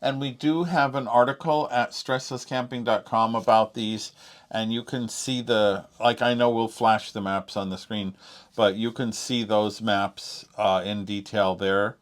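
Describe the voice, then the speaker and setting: A man's voice: lowish voice, fairly even intonation, unhurried. An American man co-hosting a casual product review, with laid-back, joking phrasing. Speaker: co-host reviewing RV gadgets.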